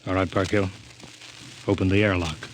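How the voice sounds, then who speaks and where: A man's voice: low voice, steady tone, normal speed, close to an everyday voice. A man playing a stern, cautious spaceship captain in 1950s radio drama, clipped and commanding, on a noisy vintage broadcast.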